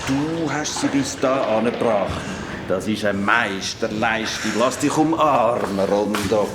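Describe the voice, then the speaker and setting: An actor with a Swiss German accent playing Arthur, a lively, welcoming grown man in a children's radio play. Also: lowish voice, measured pace, over music, close to an everyday voice. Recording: roomy sound with background ambience.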